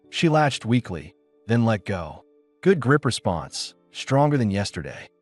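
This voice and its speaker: A man reading a dramatic scripted story as an audiobook narrator. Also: lowish voice.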